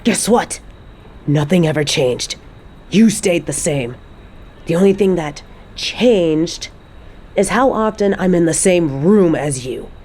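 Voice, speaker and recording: A woman's voice: lowish voice, lively intonation, takes it slowly, pauses often, darkish tone. A woman playing a fed-up, defiant mother confronting her toxic ex in a dramatic audio roleplay, scornful and emphatic. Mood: angry, exasperated.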